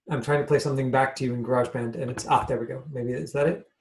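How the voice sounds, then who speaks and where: Man, class host, medium pitch, casual demonstration talk, hesitant, halting, repetitive phrasing. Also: fairly even intonation. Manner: hesitant, halting, repeating himself. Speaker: class host and acting coach.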